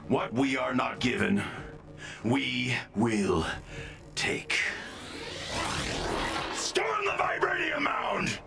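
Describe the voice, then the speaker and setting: Very gravelly, menacing villain. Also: male voice, medium pitch, wildly swooping pitch, exaggerated voice. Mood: menacing rallying. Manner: slow, pompous, theatrical. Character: menacing, vengeful male fanatic villain. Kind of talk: theatrical video game villain acting. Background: dramatic game music.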